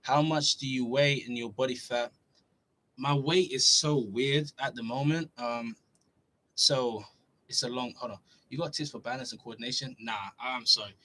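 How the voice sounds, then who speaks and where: A young man from Norway hosts a live question-and-answer stream, reading out viewer questions and answering in a loose, chatty manner. His voice sits at an ordinary middle pitch. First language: Norwegian. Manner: relaxed, chatty, reads questions aloud then answers. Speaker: fitness YouTuber answering live chat. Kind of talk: casual livestream Q&A.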